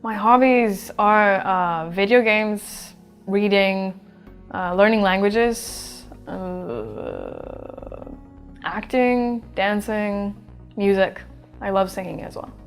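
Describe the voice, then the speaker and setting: A woman's voice: medium pitch, drawn-out words, soft background music. Chatty young woman from Sweden. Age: 20s. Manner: relaxed, chatty, thinks aloud with fillers. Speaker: English teacher being interviewed. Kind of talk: casual interview answers.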